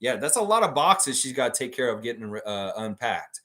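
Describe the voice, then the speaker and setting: A man hosting a casual live stream about basketball, reading viewer comments aloud and reacting with laughs and sudden loud, high bursts. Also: a little fast. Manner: animated, laughs often, swings loud and high when joking. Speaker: sports podcast host reading live chat.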